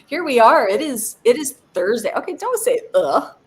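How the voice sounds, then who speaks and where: A woman's voice: on the high side, big pitch swings, normal speed. Giggly woman greeting live viewers. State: phlegmy, coughing. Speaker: co-host of a live stream. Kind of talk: casual live-stream chat.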